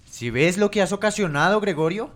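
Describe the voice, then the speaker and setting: A man's voice: high-pitched, animated, a little fast, a bit bright. A man playing a stern, impatient middle-aged father in an amateur radio play, with rain-like hiss.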